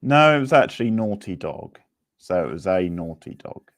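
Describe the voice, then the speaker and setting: A man hosting a casual live quiz, chatting informally with players and reading out options deliberately. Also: low voice, very animated. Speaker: quiz host.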